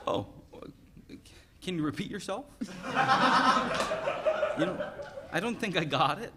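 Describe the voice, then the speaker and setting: A man's voice: sits high, sing-song, slow pace, very noisy, full character voice. A man playing a clueless, flippant teenage boyfriend who stammers and jokes in a dramatic reading of a play. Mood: defensive. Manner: stammering, joking.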